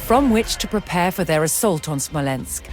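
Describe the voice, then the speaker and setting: A woman giving scripted, documentary-style narration for a historical strategy game, measured and formal in her storytelling. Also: fairly low pitch, animated. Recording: music behind the voice. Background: music.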